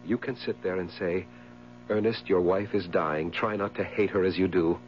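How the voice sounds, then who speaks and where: An actor playing an irritable, haunted husband full of hate, in an emphatic 1970s radio drama style. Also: male voice, low voice, fairly even intonation, moderate pace, muffled recording, a little hiss or hum, lightly acted. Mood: irritated, bitter. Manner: theatrical, emphatic. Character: irritable, haunted, hateful widowed husband Ernest. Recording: humming, band-limited 1970s radio recording.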